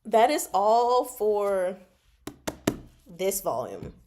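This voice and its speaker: Slow-paced, expressive woman chatting about books. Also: medium pitch, noisy background. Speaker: book discussion vlog host. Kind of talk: casual live book chat.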